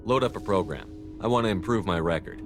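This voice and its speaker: An actor playing a confident, competitive soldier hero in a video game, terse and assertive. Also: male voice, on the low side, fast, close to an everyday voice. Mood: confident, challenging. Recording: game music behind the voice.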